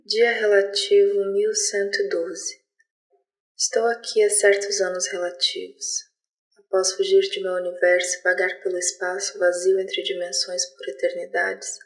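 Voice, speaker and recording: A woman voices a lonely alien lizard in a science fiction audio drama. The delivery is measured and reflective, with a mid-pitched voice that stays very level as the gifted outsider dictates a diary. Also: flat delivery, lightly acted, poor audio. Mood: solemn, wistful. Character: lonely alien lizard patroller, gifted, wistful, keeping a log.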